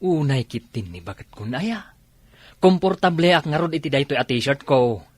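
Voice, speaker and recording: An actor playing a stubborn, grumbling, defensive husband in an Ilocano radio comedy drama. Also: male voice, fairly high pitch, lively intonation, normal speed, no echo.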